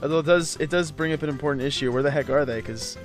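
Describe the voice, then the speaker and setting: A man with a fairly high voice hosts a video game let's play. He talks casually and jokingly, as if to a friend alongside him. Faint music plays behind him. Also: quick pace. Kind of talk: casual joking gameplay commentary with a co-commentator.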